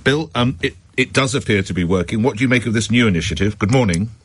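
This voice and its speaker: A man hosts a phone-in from a studio, his voice low and a little husky. He speaks close to a dry microphone and challenges his callers persuasively, firing questions back at them. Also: animated, fast, dry recording. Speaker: radio talk show host. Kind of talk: phone-in radio hosting. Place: radio studio.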